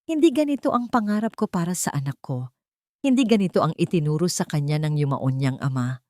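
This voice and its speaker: An elderly mother-in-law, as a female narrator reads her, earnest, worried and full of regret. She confides seriously in a slightly low, expressive storybook voice with wide pitch movement, recorded dry. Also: a little fast, no echo, natural voice. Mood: serious, sorrowful.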